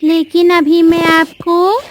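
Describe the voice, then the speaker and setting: A woman's voice: very high-pitched, level tone, takes it slowly. A woman voicing an anxious, caring crow wife and mother who pleads earnestly in a children's cartoon. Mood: concerned, insistent. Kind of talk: Hindi children's cartoon story dialogue.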